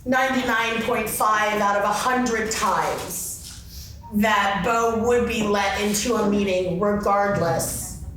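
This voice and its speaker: A woman speaking off the cuff in a panel discussion, phrasing her thoughts haltingly and reflectively. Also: medium pitch, a bit bright. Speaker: panelist at a fellowship convention. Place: convention meeting room. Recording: echoey room from far off, faint noise.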